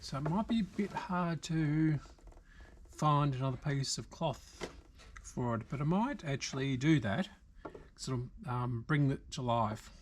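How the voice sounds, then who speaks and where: Man, Australian accent, unhurried slow delivery, mid-pitched, casual repair commentary, halting, thinking out loud. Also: animated, slight background noise. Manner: unscripted, halting, thinking aloud. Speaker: video host, radio repairer. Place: indoor workshop.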